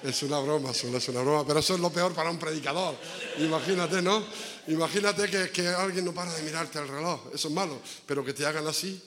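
A man's voice: on the high side, fast talker, crowd noise. A man giving a conversational evangelical sermon as a visiting preacher, repeating phrases for emphasis and asking rhetorical questions. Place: inside a church. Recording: echoey church hall reverb.

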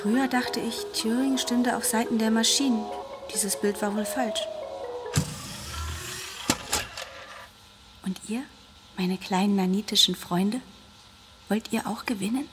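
Actress as absorbed woman researcher, lightly high voice, tender musing manner, radio drama, musical backing. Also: normal speed, over music, lightly acted. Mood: playful, tender. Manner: musing, tender, talking to herself and pets. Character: absorbed, determined woman researcher doting on her tiny nanite creatures.

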